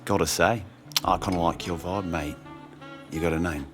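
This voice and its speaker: A man's voice: low voice, fairly even intonation, normal speed, fairly bright tone. A man playing a friendly, knowing colonist bartender in a sci-fi radio drama, chummy and slangy. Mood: easygoing, knowing. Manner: chummy, slangy, calls listener mate. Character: friendly, knowing male space-colonist bartender with pirate tattoos. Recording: music behind the voice.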